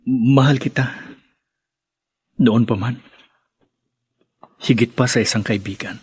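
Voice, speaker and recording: A man's voice: mid-range voice, takes it slowly, darkish tone, slightly rough, no room sound. An actor playing a patient, lovestruck man in a radio drama, earnest and sincere. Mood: earnest, nervous. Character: lovestruck, patient male friend confessing love.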